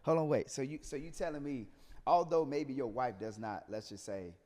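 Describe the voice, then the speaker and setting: A man's voice: mid-range voice, moderate pace, mellow. A man hosting a casual interview, chiming in with affirmations and repeating himself for emphasis. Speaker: podcast host. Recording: reverberant hall-like room echo.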